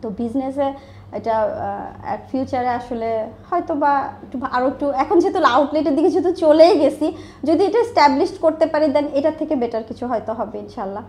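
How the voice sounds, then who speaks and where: A woman's voice: sits high, moderate pace, darkish tone. A woman with a Bangladeshi accent speaking candidly and emphatically in a conversational interview, mixing in English words. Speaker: interview guest, online business owner.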